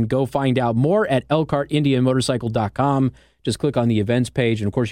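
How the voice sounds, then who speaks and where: A man's voice: medium pitch, darkish tone, no room sound, studio quality. An American man hosting conservative political talk radio, chatting in a conversational way with sardonic asides. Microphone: close studio microphone.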